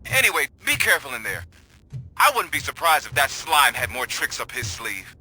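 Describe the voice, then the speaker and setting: An actor playing a friendly, excitable male police colleague in a video game, eager and chatty. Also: mid-range voice, moderate pace, a little hiss or hum, full character voice, rough recording.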